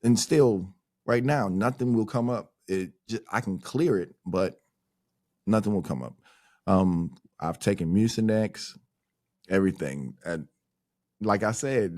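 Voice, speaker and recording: A man's voice: fairly low pitch, darkish tone. A man hosting a podcast, chatting casually in a loose, rambling way with lots of fillers. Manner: loose, rambling talk full of "like" and "um". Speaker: podcast host.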